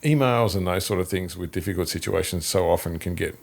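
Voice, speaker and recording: Very deep-voiced man, stammering. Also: brisk, vocal fry. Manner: stammering restarts, repeats words. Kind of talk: conversational interview.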